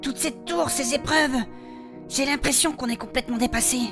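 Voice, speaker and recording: A woman's voice: high voice, normal speed, fairly bright tone. A woman playing a worried, tender adventurer, hesitant and earnest, in a fantasy audio drama. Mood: anxious. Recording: faint music underneath. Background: music.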